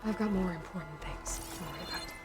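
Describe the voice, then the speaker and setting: An actress playing a practical young game heroine, direct and questioning, with little patience for fine things. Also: female voice, lowish voice, fast talker, over loud music, lightly acted. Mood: dry. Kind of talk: video game dialogue.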